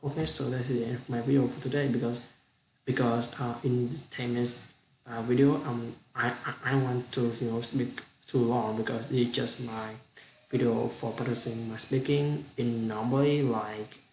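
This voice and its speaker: A young man with a Vietnamese accent, a non-native English speaker, gives an unscripted practice monologue with halting speech, frequent restarts and repeated words. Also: mid-range voice, fairly even intonation, a little mellow, echoey room, a little hiss or hum, poor audio. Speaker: student practicing spoken English.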